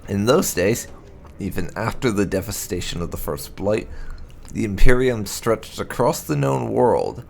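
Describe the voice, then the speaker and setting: A man reads game lore aloud in a low, gravelly voice with plenty of fry. His delivery is measured and storyteller-like, rising and falling in a lively way with emphatic phrasing. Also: slight background noise. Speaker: gaming channel host. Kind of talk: reading game lore aloud as scripted narration.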